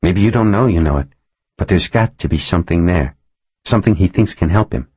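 A man's voice: sits low, lively intonation, brisk, darkish tone, slightly rough, no echo. A man voicing an earnest younger woman lawyer in an audiobook, pressing with questions. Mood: insistent.

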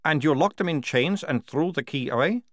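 A man voicing an army captain in a storybook, gruff and clipped, with a commanding manner. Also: on the high side, animated, moderate pace, dry recording, clean studio sound, voice pushed up, close to an everyday voice. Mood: incredulous.

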